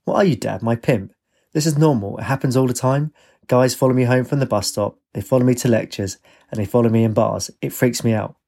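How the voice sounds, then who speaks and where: A man's voice: medium pitch, brisk, vocal fry, warm tone. A man voicing a fond but exasperated young woman student in a story, with a dry, chiding manner.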